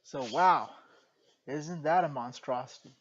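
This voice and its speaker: Slow, soft-spoken man teaching math. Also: a little high, highs cut off. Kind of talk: jokey recorded math lesson.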